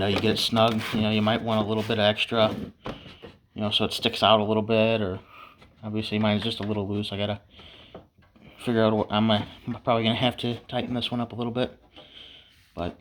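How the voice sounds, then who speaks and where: A man's voice: fairly low pitch, slight background noise. A man giving a casual, unscripted hands-on DIY tutorial in a laid-back, folksy way. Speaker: DIY how-to presenter.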